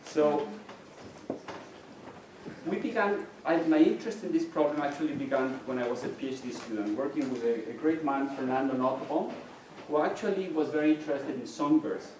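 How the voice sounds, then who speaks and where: A man's voice: on the high side, moderate pace, a little mellow. Middle-aged man, native Spanish speaker, lecturing, restarts and fillers, heavy hall echo, distant mic, faint noise. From Mexico. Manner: explanatory, with frequent restarts and fillers. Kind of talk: university lecture.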